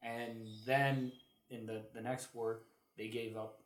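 A man co-hosting a casual history chat, haltingly searching for details as he talks, with a roomy echo around his voice. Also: medium pitch, little pitch movement. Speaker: podcast co-host. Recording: roomy, echoing sound.

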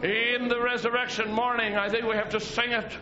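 A man preaching a fiery sermon to his church congregation, often shouting in a high, strained voice. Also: flat delivery, brisk, crowd noise. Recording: dull lo-fi church recording with congregation.

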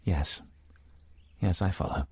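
Casting: man acting earnest snobbish sidekick for comic radio play, husky low voice, halting, flattering, pedantic. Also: dry recording, clean studio sound, exaggerated voice. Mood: earnest flattery. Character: sycophantic, snobbish male confidant, earnest and rambling.

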